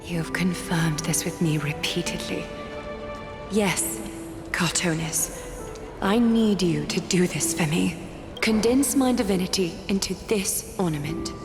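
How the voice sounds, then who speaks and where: A woman's voice: on the low side, unhurried, music in the background. A woman voicing a serene, regal demigod leader in a video game, formal and composed, in an echoing space. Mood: calm, resolute. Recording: echoey reverberant hall effect.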